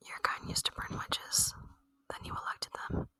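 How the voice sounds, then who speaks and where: A woman voicing a gentle, lonely female alien in an ASMR roleplay, whispering tenderly and intimately in a breathy voice, close to the microphone. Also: dry recording. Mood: tender, hopeful. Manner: slow, tender, intimate, earnest. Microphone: close microphone, dry.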